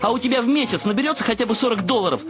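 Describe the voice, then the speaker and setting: Scornful, high-pitched young man. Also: expressive, racing. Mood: angry scorn. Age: young adult. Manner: scornful, sarcastic, ranting. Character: exasperated, materialistic young adult son scolding his parents. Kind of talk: radio play acting.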